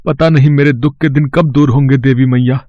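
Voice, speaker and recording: A man's voice: mid-range voice, steady tone, a little fast, mellow, no room sound, lo-fi sound, close to an everyday voice. A man playing a sorrowful, devout rickshaw puller in a cartoon moral story, plaintive and weary. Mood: weary, sad.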